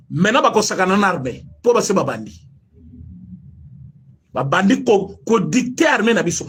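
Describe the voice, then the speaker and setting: A Congolese man with a strongly husky, breathy voice pitched high for a man. He delivers heated political commentary with a Congolese French accent. His pitch swings widely as he fires off rhetorical questions. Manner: emphatic, rhetorical questions, mixes French and Lingala. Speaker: online commentator.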